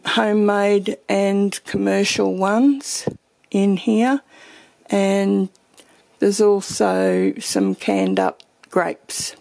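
A woman's voice: medium pitch, vocal fry, a little mellow. A woman giving a casual, meandering tour of her home pantry, thinking aloud as she films her shelves. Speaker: homesteading vlogger.

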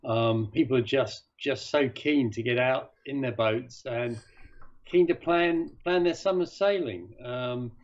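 A man's voice: medium pitch, measured pace. A man being interviewed as a sailing class chairman over a video call, talking with many hesitations and restarts. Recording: dull video-call audio.

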